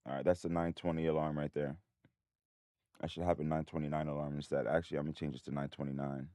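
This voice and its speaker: A man hosting a trading livestream in a casual, rambling way, his voice a bit hoarse. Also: very deep voice, level tone, fast talker, mellow, dry recording, studio quality. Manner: rambling, many restarts and fillers. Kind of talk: casual live-stream talk.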